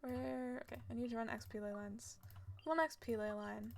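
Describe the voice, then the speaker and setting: A woman's voice: a little high, moderate pace, gravelly, a little mellow. A woman chatting casually as she livestreams a game, sounding tired and often muttering to herself. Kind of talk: casual game stream commentary. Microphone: streaming mic over game audio. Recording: faint noise, clicks, home stream.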